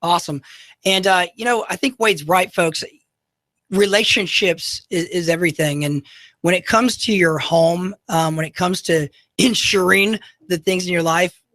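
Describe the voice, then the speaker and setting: A man with a high, slightly goofy voice hosting local talk radio, talking to listeners directly in a folksy, salesy way. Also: a bit bright, no echo. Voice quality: high-pitched, goofy-sounding voice. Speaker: radio show host. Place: radio studio.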